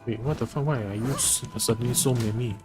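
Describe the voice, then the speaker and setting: A man's voice: mid-range voice, fast, over music. A man streaming a game, giving casual commentary and chatting in muttered, half-finished thoughts. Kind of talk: casual live gameplay commentary chatting with viewers.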